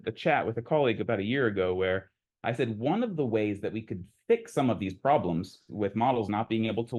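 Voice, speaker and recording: Low-pitched male lecturer, explaining informally. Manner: explanatory, conversational, thinking aloud. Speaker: lecturer presenting a technical talk. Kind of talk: informal academic lecture with audience questions.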